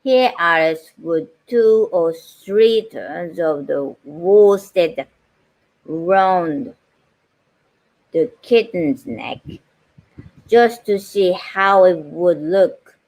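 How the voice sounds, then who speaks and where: A middle-aged woman with a Japanese accent, a livestream host reading aloud and chatting casually in a halting, unhurried way. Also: on the low side, a little mellow, rough recording. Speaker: livestream host, voice-over artist.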